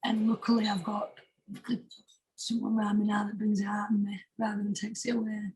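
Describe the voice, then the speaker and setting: A British woman with a husky voice chatting casually as a singer being interviewed, informal and emphatic, often repeating herself for stress. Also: medium pitch, monotone, brisk, a little mellow, low-quality recording. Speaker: singer-songwriter guest.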